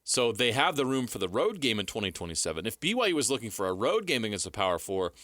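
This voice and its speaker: A man's voice: lowish voice, very animated, fast, a bit bright, no echo, studio quality. A man co-hosting a sports talk show, giving casual analysis in conversation. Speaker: sports radio co-host. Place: radio studio.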